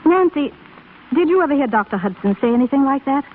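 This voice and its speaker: Refined, sorrowful widow, fairly high voice, thin vintage radio recording. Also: female voice, animated, fast talker. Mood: puzzled, earnest. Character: grieving, genteel widow, emotional but gracious. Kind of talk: 1930s radio drama acting. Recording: scratchy, tinny 1930s radio broadcast recording.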